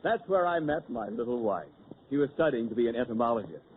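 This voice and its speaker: A man's voice: medium pitch, expressive, fast talker, phone-quality sound. A man, a radio announcer, playing a smug, self-satisfied part in 1940s radio comedy on a hissy old broadcast.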